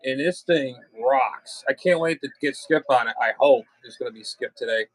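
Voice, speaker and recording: A man's voice: mid-range voice, normal speed, low-quality recording. A man hosting a casual hobby livestream, talking in a blunt, slangy way with some swearing. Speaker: livestream host and ham radio hobbyist.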